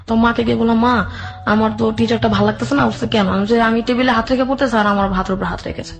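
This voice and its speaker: A woman's voice: on the high side, steady tone, quick pace. A woman guest telling a personal story in run-on narration, quoting both sides of each conversation. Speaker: interview guest. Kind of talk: personal storytelling with re-enacted dialogue. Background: background music.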